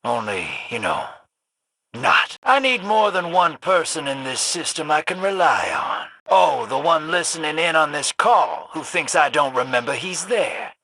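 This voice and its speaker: A bright, raspy roguish man, cocky and sly. He drawls in a folksy, sardonic way, unhurried and swooping widely in pitch, like a colourful game character. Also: very animated, no echo, heavily acted. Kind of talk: colourful video game voice acting.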